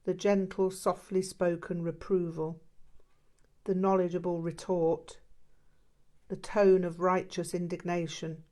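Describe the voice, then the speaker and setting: Woman reading an audiobook, really slow and measured, voice a bit on the low side. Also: flat delivery, a little mellow. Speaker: audiobook narrator. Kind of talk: scripted narration, measured literary reading.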